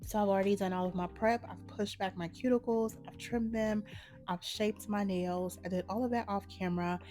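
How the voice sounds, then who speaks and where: A woman hosting a nail art channel, slightly hoarse from a cold, talking viewers through a manicure step by step in a relaxed, matter-of-fact way. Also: medium pitch, normal speed, soft background music. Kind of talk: casual step-by-step tutorial narration.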